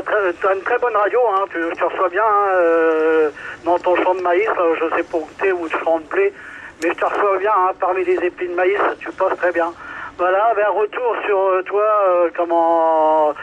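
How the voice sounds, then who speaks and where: A man's voice: sits high, fairly even intonation, moderate pace, slight background noise. A man chatting casually over two-way radio as a hobbyist, hesitant and repeating himself. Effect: two-way radio transmission. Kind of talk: casual amateur radio chat. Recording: tinny two-way radio link.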